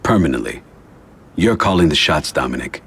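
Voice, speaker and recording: Very deep-voiced, measured mafia don. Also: male voice, big pitch swings, creaky, fairly bright tone, breathy edge, exaggerated voice. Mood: grave, decisive. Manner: measured, deliberate, authoritative. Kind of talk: video game cutscene and tutorial narration.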